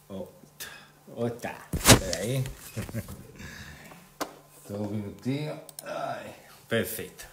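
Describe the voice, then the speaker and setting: Brief: Italian-accented male host, casual and halting, recorded in an echoey room. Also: mid-range voice, fairly bright tone. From Italy. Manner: hesitant, restarts often. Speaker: interviewer and channel host. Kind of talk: casual online interview.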